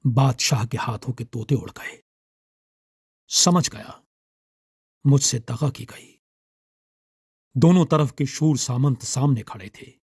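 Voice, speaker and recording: A man narrating a scripted literary story in a measured, dramatic storytelling manner. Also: mid-range voice, darkish tone, husky, no echo, studio quality.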